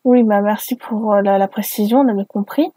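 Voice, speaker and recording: A woman's voice: mid-range voice, a little fast, mellow, slightly rough, no room sound. A woman reading aloud and breaking off for sarcastic, exasperated asides. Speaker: video host reading fan fiction aloud. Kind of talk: mocking read-aloud with spoken asides.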